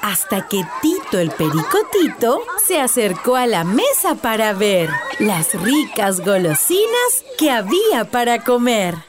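A woman narrating a rhyming children's story in a slow, sing-song, very expressive way. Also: fairly high pitch. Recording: narration over loud background music.

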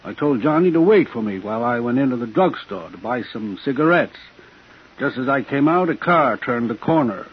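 Evasive, genial shopkeeper, muffled old radio. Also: male voice, mid-range voice, animated, moderate pace, breathy edge. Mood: guarded, matter-of-fact. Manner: guarded, clipped short phrases. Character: evasive, outwardly genial shop owner, uneasy witness to murder. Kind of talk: 1950s radio thriller acting. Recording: dull, faintly noisy 1950s radio broadcast.

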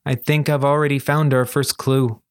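A man voicing a quiet, thoughtful schoolboy with a calm, reserved manner in a storybook reading. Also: mid-range voice, moderate pace, clean tone, no echo, clean studio sound, lightly acted. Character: quiet, level-headed schoolboy, measured and thoughtful.

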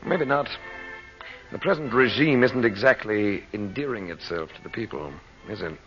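Man as steady American hero, lowish male voice, discreet, protective, old radio drama, dull recording, music behind. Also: normal speed, natural voice. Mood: calm, reassuring. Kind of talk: 1940s radio drama acting.

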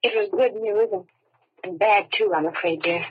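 Husky, worried wife, muffled old radio. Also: female voice, mid-range voice. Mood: tender, worried. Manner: tender, halting, earnest. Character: gentle, worried, loyal young wife and mother. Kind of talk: 1930s radio drama acting.

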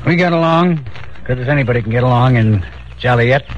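An actor playing a hot-tempered gangster fresh out of prison, with tough, clipped slangy talk, in a 1940s radio crime drama. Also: male voice, mid-range voice, fairly quick, lo-fi sound, slight background noise, lightly acted. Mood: cocky. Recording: old radio broadcast, music behind.

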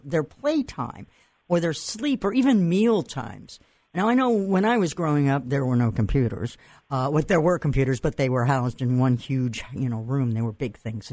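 A man's voice: on the high side, darkish tone, no echo. An older man with a Massachusetts accent hosting an interview, talking in a chatty, rambling way with restarts and fillers. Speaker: host. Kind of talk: radio or podcast interview.

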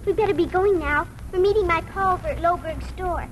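A woman's voice: extremely high pitch, fairly quick, poor audio. An actress playing a polite, shy young farm girl in 1940s radio drama. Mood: polite, eager. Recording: 1940s radio broadcast with faint noise.

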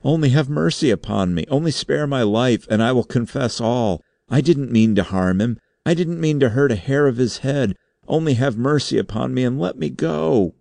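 A reader acting a frantic craven man, pitched high. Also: animated, moderate pace, gravelly, mellow, no echo. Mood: abject pleading. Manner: stammering, frantic, wailing. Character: terrified craven guilty man, an undersecretary, pleading. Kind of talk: audiobook character acting.

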